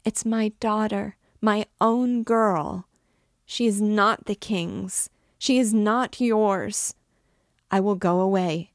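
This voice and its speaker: A woman performs a distraught mother from a storybook, begging soldiers to spare her daughter. Her pleading is emphatic and pushed up in pitch, with some gravel in it. The recording is dry and close. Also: takes it slowly, no room sound. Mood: anguished protest. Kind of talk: dramatic audiobook character reading.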